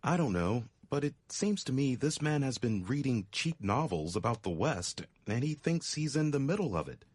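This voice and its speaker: A man's voice: mid-range voice, big pitch swings, normal speed, hardly any pauses, a little mellow, dry recording. A man playing a thoughtful, knowing, well-traveled Easterner in a dramatic story reading.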